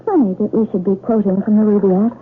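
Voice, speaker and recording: An actress playing a poised, polite young wife of a professor in a radio drama, with a theatrical manner. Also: female voice, mid-range voice, fairly even intonation, quick pace, faint music behind, close to an everyday voice. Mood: intent, knowing. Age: young adult. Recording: tinny, noisy old radio broadcast.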